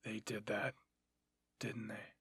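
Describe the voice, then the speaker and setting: A man's voice: on the low side, flat delivery, takes it slowly, a bit bright, slightly rough, dry recording, voice pushed down, full character voice. A man voicing a stern, curt male military captain who scolds in clipped phrases in a fan fiction roleplay. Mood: grim.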